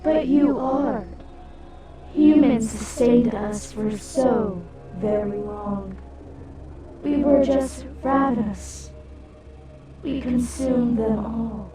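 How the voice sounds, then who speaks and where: A ravenous, dying creature voiced by a woman speaks slowly and deliberately, as one voice for many. It is menacing and ominous, set back from the mic under loud music in an audio drama. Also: a little high, far from the mic, low-quality recording. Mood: menacing, grieving.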